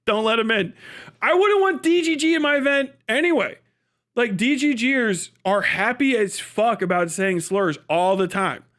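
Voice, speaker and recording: An American man with a notably high voice delivers an off-the-cuff livestream rant. He is blunt and sarcastic, swears freely, and hammers his points with emphatic phrasing and mock dialogue. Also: lively intonation, unhurried, fairly bright tone. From United States. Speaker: livestream commentator.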